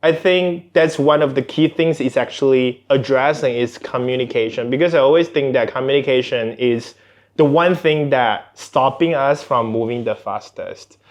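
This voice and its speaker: A man's voice: mid-range voice, moderate pace. A man speaking casually as a guest in a podcast interview, often restarting his phrases. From born outside Singapore, Chinese cultural background. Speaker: podcast guest, tech executive.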